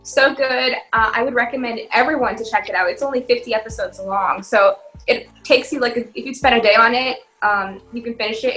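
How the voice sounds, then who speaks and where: A woman co-hosting a podcast in casual chat, telling things in an animated, giggly way. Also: a little high, poor audio. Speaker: podcast co-host.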